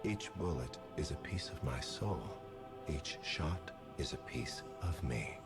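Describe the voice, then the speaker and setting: An actor voicing a theatrical male assassin who treats killing as art, slow and grandiose, often whispering, in game voice lines. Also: animated, heavily acted. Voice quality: deep gravelly voice. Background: music.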